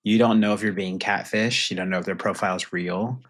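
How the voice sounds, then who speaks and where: Low-pitched man chiming in briefly. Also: fairly even intonation, brisk, fairly bright tone. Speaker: podcast producer. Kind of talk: casual chat, brief interjections.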